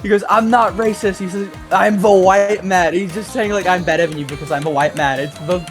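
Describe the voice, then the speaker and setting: A mid-pitched speaker rambles through retellings in a casual chat, slipping into acted-out quotes along the way. Loud video game music plays behind the voice. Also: a little fast. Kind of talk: casual chat over gameplay.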